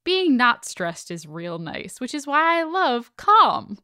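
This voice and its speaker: A woman's voice: high voice, sing-song, slow pace, pushed and bright, clean tone, dry recording, studio quality. A woman co-hosting a podcast, chatting casually and reading sponsor spots in an upbeat, playful, conversational way. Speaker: podcast co-host. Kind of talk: casual chatty ad read and banter.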